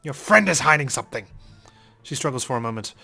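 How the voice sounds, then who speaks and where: Let's play host: man, lowish voice, very quick dramatic reading, faint music behind. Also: lively intonation, creaky, sharp tone. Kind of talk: reading game narration aloud dramatically.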